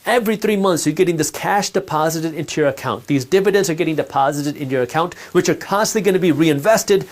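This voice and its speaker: A man giving a direct-to-camera personal finance lesson, emphatic and repetitive, talking straight to the listener. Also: a little high.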